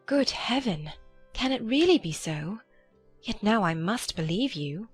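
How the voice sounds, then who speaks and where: A woman playing a gentle, earnest, affectionate young gentlewoman in a dramatized period audiobook. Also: medium pitch, animated, a little slow, breathy edge, soft background music. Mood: amazed. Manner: earnest, tender.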